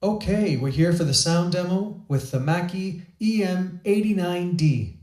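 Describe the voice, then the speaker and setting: A man's voice: sits high, measured pace, darkish tone. A man hosting a gear review, talking in an enthusiastic, chatty way with small restarts, recorded on a shotgun microphone. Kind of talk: product review talk.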